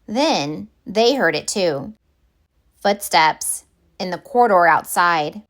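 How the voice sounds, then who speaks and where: Slow, deliberate woman reading a storybook. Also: lowish voice, animated. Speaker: storybook reader. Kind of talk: children's read-aloud narration.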